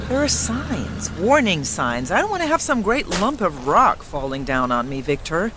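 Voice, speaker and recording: A woman's voice: on the low side, big pitch swings, normal speed, noisy background. An actress in a radio drama playing a fussy, exasperated stepmother, scolding and plaintive. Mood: anxious, complaining.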